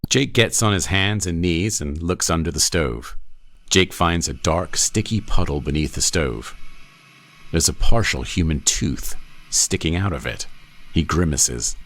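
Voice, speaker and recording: A man's voice: deep voice, expressive, unhurried, fairly bright tone. A man reading screenplay stage directions as scripted narration.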